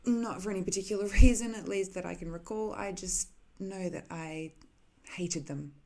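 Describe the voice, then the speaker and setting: A woman voicing an ancient cursed living weapon, a soft-spoken, wistful villain who muses hesitantly in an intimate ASMR roleplay, sometimes dipping toward a whisper. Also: mid-range voice, normal speed, creaky, natural voice. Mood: brooding, matter-of-fact.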